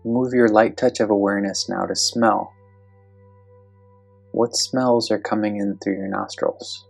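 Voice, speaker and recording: A meditation guide speaking slowly and gently, giving calm step-by-step instructions in a guided meditation. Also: medium pitch, gravelly, faint music behind.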